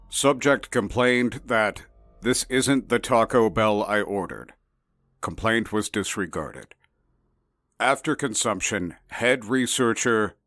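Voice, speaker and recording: A man playing an officious narrator reading classified research files in an audio drama, clipped, formal and deadpan. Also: fairly low pitch, dry recording, studio quality.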